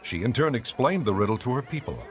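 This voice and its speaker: A man narrating scripture for a dramatized audiobook in a measured, solemn, scripted style. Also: medium pitch, lively intonation.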